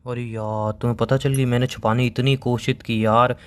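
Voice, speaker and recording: A man's voice: mid-range voice, flat delivery, moderate pace. A young man in a casual phone argument. He talks in an evasive, teasing way, with an exasperated edge, as he bickers with a partner. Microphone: phone call.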